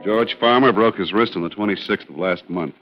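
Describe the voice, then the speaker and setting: An actor playing a blunt, brisk, no-nonsense male police lieutenant in a radio drama. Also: fairly low pitch, close to an everyday voice. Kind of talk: 1950s radio drama acting. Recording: dull lo-fi old radio broadcast with faint music.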